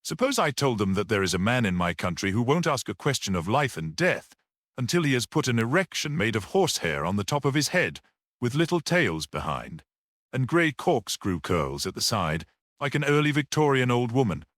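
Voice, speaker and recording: A man voicing a mild, absent-minded, good-humoured priest in a mystery audiobook, musing and gently explanatory. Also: mid-range voice, very animated, moderate pace, no room sound, studio quality, pitched above the performer's own voice. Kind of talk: audiobook character reading.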